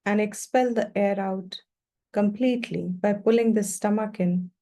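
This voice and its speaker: Female meditation teacher: warm, dark-toned, mid-pitched voice, gentle unhurried instructions delivered in short phrases. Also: fairly even intonation.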